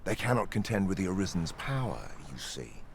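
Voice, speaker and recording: An actor playing a sly, learned crystal collector in a fantasy video game, coaxing and theatrically precise. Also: male voice, sits low, big pitch swings, brisk, creaky, over a noisy crowd, full character voice. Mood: sly, condescending.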